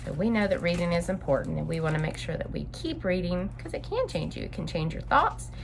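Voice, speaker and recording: A woman reads aloud for children in an animated, swooping voice. She speaks straight to young listeners and explains things in simple, instructive terms. There is some background noise in the recording. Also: fairly low pitch, a little fast, gravelly. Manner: expressive, instructive, addressing young listeners directly. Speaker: story time host reading to children.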